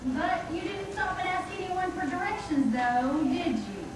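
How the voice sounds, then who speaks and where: Woman as a slow, high-voiced frontier ma. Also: animated. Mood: exasperated concern. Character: worried, gently scolding frontier mother puppet. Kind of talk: scripted church puppet show dialogue. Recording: echoey room, far mic, noisy.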